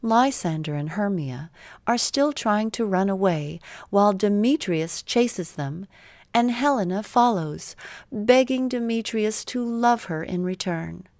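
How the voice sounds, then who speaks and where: A woman narrates a children's story at an unhurried pace. Her pitch sits in the middle, and each simple sentence is measured out. The sound is dry, with no room around it. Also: animated, a little mellow, dry recording.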